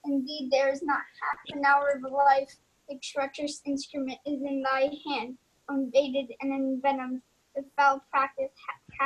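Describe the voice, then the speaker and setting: High flat-toned young swordsman, proud but remorseful girl student acting, shakespeare radio play. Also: poor audio. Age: young adult. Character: Laertes, proud young male duelist turned remorseful. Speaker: student actor.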